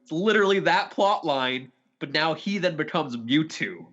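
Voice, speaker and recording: A man's voice: fairly high pitch, animated, normal speed, fairly bright tone, lo-fi sound. A man co-hosting a podcast, chatting informally about a film and swearing casually. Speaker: podcast co-host.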